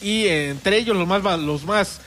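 A man's voice: high voice, normal speed, fairly bright tone. A man with a Mexican accent hosting a radio sports show in casual chat, chatty with fillers and restarts. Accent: Mexican Spanish. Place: radio studio.

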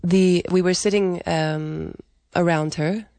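A woman's voice: fairly low pitch, fairly even intonation, slow pace, mellow, no echo. A woman telling personal stories reflectively in an interview, as a musician. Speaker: singer and pianist being interviewed. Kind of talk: unscripted interview storytelling.

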